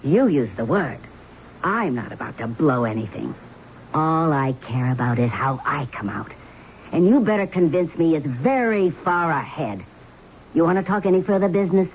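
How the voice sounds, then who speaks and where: An actress playing a bitter, cutting American wife in a 1970s radio drama, dismissive of her gambler husband. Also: low voice. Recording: noisy, dull vintage radio broadcast.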